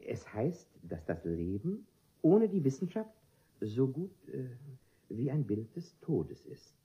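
An actor in a classic comedy radio play voicing a courteous, pedantic philosophy tutor, formal, pompous and a little hesitant. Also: male voice, medium pitch, very animated, slow, mellow, highs cut off.

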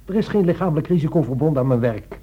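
An actor playing a weary, guilt-ridden husband, earnest but strained, in a radio play. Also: male voice, a little high, lively intonation, very fast, breathy edge. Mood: earnest, evasive. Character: weary, guilt-ridden, evasive devoted husband, helpline volunteer. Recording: dull, lo-fi old radio recording.

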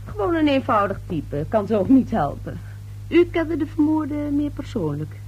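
An actress playing a brash, slippery young newspaper reporter in a radio play, glib, insistent and patronizing. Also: female voice, high voice, very animated, moderate pace, muffled recording. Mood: pushy, condescending. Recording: noisy old radio drama recording.

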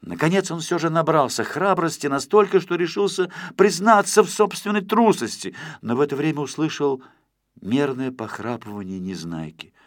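Animated male storyteller with higher pitch. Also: normal speed, no room sound, studio quality. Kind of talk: expressive scripted children's story narration.

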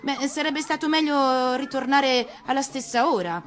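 Woman as an earnest storybook fox, expressive and musical. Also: high voice, normal speed, soft background music, voice pushed up, natural voice. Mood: eager, happy. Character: gentle, earnest female fox, patient storybook teacher.

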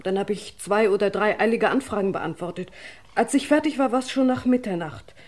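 A woman acting as a hotel secretary in a radio crime play. Her pitch is average for a woman. She speaks earnestly, in precise and orderly sentences. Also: a little fast, darkish tone, natural voice. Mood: composed, earnest. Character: earnest female hotel secretary giving police testimony.

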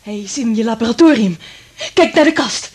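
An actress playing an anxious, anguished wife with telepathic powers, speaking dramatically in a radio drama. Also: female voice, fairly high pitch, expressive, normal speed, a little mellow, breathy edge. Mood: alarmed.

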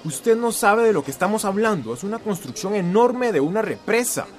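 Earnest, higher-pitched man actor playing a friend. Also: lively intonation, brisk, faint music behind. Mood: insistent. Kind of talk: radio drama acting.

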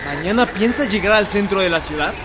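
An actor playing an eager 1910s newspaper reporter questioning generals in a radio drama. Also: male voice, high voice, animated, fairly quick, background noise. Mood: eager, formal. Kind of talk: Spanish-language historical radio drama acting. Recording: street crowd bustle behind.

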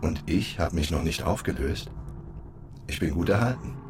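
An actor playing an eerie, accusing forgotten man in an audio drama, measured, brooding and menacing. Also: extremely low pitch, expressive, music in the background. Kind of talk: German audio drama acting.

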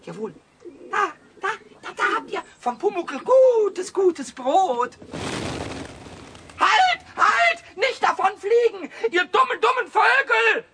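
A man playing a cheeky, childish little kobold in a 1970s children's radio play, squeaky and piercing, stammering over repeated words, excitable and stubborn. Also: slow pace, a little hiss or hum, exaggerated voice. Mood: excited, indignant. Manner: stammering word repetitions, singsong rhyming.

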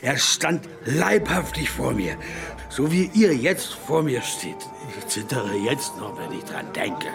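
An actor playing a shaken, boozy, superstitious reformer who stammers in agitation, in a radio drama. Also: male voice, mid-range voice, big pitch swings, takes it slowly, creaky, exaggerated voice. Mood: shaken, insistent. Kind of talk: German radio drama acting. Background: music.

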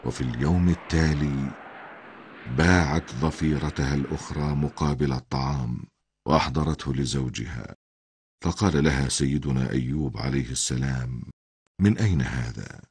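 An older man with a deep, husky voice narrating a religious cartoon story as a grandfather, solemn and formal. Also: steady tone, moderate pace, a little mellow, no echo.

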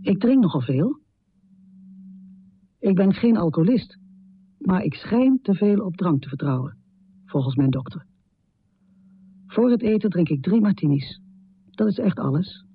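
An actress playing a troubled, confessional middle-aged woman poet in a radio drama, speaking intimately with a low, husky voice. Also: animated, a little slow, pauses often, phone-quality sound, a little hiss or hum. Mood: confiding, restless. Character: troubled, confessional American woman poet, heavy drinker, death-obsessed. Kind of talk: radio drama monologue and poetry recital.

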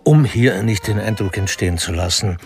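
A man narrating a crime radio play in a dry, deliberate, ironic way. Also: lowish voice, lightly acted. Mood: wry. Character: wry, deliberate male narrator of crime story. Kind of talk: scripted narration. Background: music.

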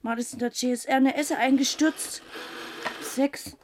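A woman's voice: sits high, fairly even intonation, a little slow. An actress in a radio play playing a timid, flustered female night porter who stammers and hesitates. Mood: uneasy musing. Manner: stammering, hesitant, easily startled. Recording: noisy radio play recording.

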